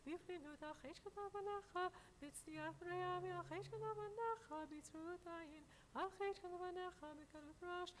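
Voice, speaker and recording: A woman's voice: extremely high pitch, racing, hardly any pauses. A woman reciting a litany of prayer lines in a swift, rhythmic recitation in a synagogue. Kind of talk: rapid liturgical recitation. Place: synagogue sanctuary.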